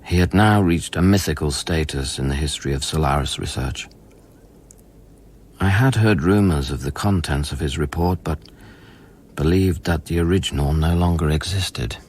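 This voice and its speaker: An actor playing a haunted, weary scientist who narrates his memories in a measured, introspective radio play style. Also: very deep voice, darkish tone, husky. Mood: somber, reflective. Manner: brooding, measured, literary.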